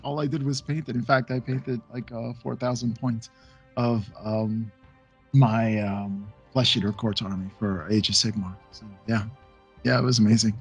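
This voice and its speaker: A man guest on a hobby podcast, telling stories in a relaxed, laid-back way and laughing often. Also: mid-range voice, normal speed, husky, soft background music. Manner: storytelling, laughs often, acts out quotes. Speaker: podcast guest, painting channel creator. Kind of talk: casual podcast chat.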